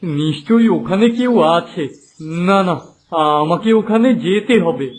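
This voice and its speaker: A man's voice: high voice, lively intonation, unhurried, a little hiss or hum. A man playing a poor, worried, kindhearted family man in a cartoon. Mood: surprised concern. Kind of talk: cartoon character dialogue. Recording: music behind the voice.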